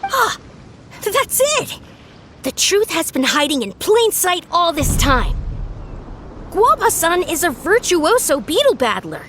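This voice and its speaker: A woman's voice: very high voice, big pitch swings, slow pace, fairly bright tone, a little hiss or hum, exaggerated voice. A woman voicing an earnest, polite young sidekick in an anime game, reasoning things out in formal speech. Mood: earnest, revelatory.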